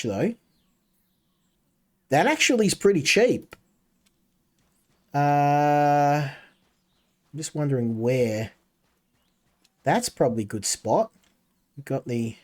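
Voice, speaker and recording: A man's voice: fairly high pitch, darkish tone. A man streaming a game, chatting casually and rambling while talking to his chat. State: coming down with a cold.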